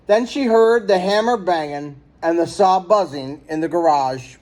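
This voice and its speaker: A man's voice: high-pitched, unhurried, darkish tone, rough recording. A man reading a children's picture book aloud as a storyteller.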